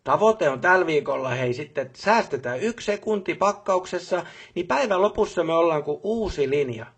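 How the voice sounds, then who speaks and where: Husky-voiced businesslike boss. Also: male voice, on the high side, lively intonation. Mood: zealous, motivational. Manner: matter-of-fact, corporate jargon, brisk orders. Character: male job boss at a charger-packing company, businesslike corporate striver. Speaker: boss instructing employees. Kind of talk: video game character dialogue.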